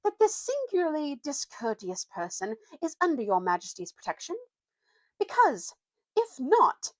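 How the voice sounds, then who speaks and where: A woman's voice: wildly swooping pitch, unhurried, no room sound, heavily acted. A woman voicing a small, proud, courtly male mouse from a storybook, with formal, ceremonious speech and a piping tone. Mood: indignant. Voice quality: shrill, piping. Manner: formal, ceremonious, clipped indignation.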